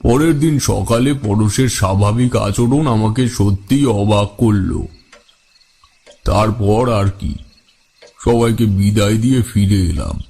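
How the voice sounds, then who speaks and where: A man's voice: lowish voice, slow, gravelly, mellow, slightly rough, heavily acted. A man playing a wise, composed tantric storyteller, narrating his tale in the first person for an audio drama. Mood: solemn, composed.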